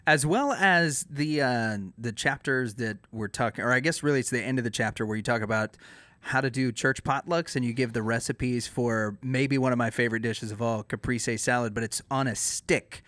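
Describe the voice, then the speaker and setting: A man's voice: medium pitch, moderate pace, a bit bright, no echo. A man co-hosting a podcast, asking easygoing, wry questions of a guest. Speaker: podcast co-host. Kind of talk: casual podcast interview. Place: podcast studio.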